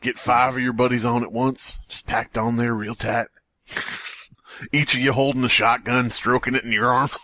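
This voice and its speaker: A man co-hosting a podcast with casual, mocking, deadpan comic banter. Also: medium pitch, normal speed, no echo. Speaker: podcast co-host.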